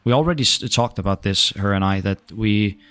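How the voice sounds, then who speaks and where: A man's voice: on the low side, brisk, a bit bright, clean tone. A young man from Denmark with a Danish accent, chatting casually with viewers while streaming a game and swearing now and then. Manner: laid-back, swears casually, chats to viewers. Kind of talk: casual gaming stream chat.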